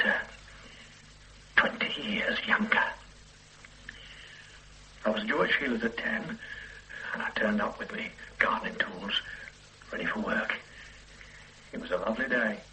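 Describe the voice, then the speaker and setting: Hesitant tired middle-aged guy confessing in a radio play, bright edgy voice. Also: lowish voice, slight background noise, rough recording. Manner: halting, hesitant confession with quiet weary asides. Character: ordinary middle-aged man, weary, evasive, regretful. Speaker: murder suspect under questioning. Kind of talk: 1970s-80s radio play acting.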